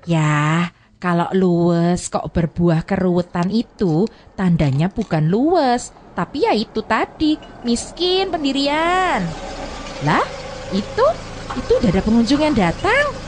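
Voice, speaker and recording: Outspoken stall woman, swooping pitch. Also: big pitch swings, takes it slowly, slight background noise. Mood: argumentative. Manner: pointed, questioning, contradicting. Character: outspoken woman worker at a coffee stall, argumentative. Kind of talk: radio drama acting.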